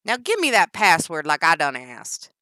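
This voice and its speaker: A loud, brash female captor with a Southern twang and an explosive temper, put on by a woman reading a storybook. The voice is low and bright-edged, recorded dry and close. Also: huge pitch swings, normal speed, no room sound, full character voice. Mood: taunting. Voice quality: brash and shouting. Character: wiry volatile female kidnapper, explosive temper.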